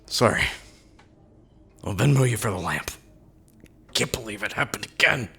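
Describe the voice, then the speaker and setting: A man's voice: on the low side, expressive, moderate pace, vocal fry, bright, forceful tone, husky, full character voice. A man playing an agitated, grief-stricken widower in an audio drama, distraught, repetitive and obsessive. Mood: sullen.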